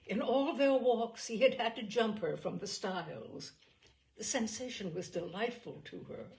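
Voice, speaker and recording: Female audiobook narrator, mid-pitched with plenty of gravelly fry; expressive, deliberate delivery of period literary fiction. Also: low-quality recording.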